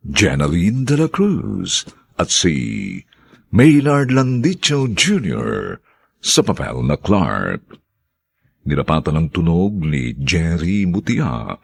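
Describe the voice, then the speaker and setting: A man's voice: low voice, vocal fry, breathy edge. A man with a Filipino accent narrating a radio drama in a solemn, declamatory, theatrical announcer's style.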